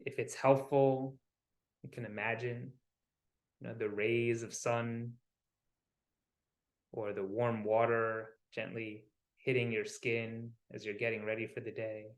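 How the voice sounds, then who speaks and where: A man with a mid-pitched voice leads a guided meditation in a roomy, echoing space. He speaks soothingly and without hurry, in short phrases with pauses between them, as a wellness coach would. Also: little pitch movement.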